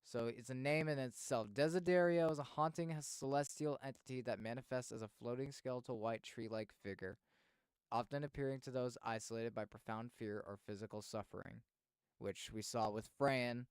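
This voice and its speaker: A man streaming casual reactions and commentary, expressive and thinking aloud as he goes. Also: mid-range voice, normal speed, clear, resonant tone, no room sound.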